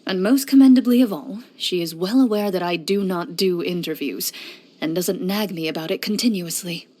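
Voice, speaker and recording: An actress voicing a composed, formal woman champion duelist for a fantasy video game, speaking in a measured, reserved, articulate way. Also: fairly low pitch, mellow, lightly acted. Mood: composed, dry. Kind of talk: scripted video game character voice lines.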